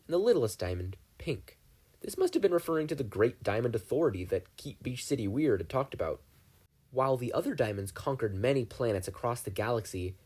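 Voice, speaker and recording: A man narrating a fan fiction audiobook with expressive storytelling. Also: mid-range voice, breathy edge. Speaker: podfic reader.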